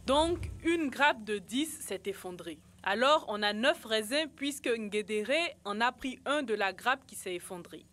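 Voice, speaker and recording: A woman voicing a sensible, careful young friend in a children's educational cartoon, explaining things clearly. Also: fairly high pitch, expressive, measured pace, hardly any pauses, fairly bright tone.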